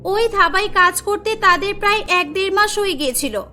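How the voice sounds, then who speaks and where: A woman narrating a cartoon story in a very high, bright voice, with a clear storytelling delivery. Light music plays beneath her. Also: moderate pace.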